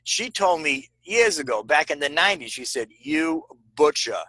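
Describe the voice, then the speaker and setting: An older man with a Rhode Island accent chats enthusiastically on a video call and heaps on compliments. His voice sits a little high and sounds bright. The sound is close and dry, with no room echo. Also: animated, normal speed. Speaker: guest, ministry friend. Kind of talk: casual video chat.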